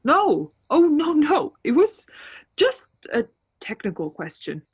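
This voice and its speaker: A woman's voice: high-pitched, wildly swooping pitch, slow pace, no room sound, heavily acted. A woman playing a haunted, anxious young driver who tells her story in a suspense radio play, earnest and nervous. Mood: flustered.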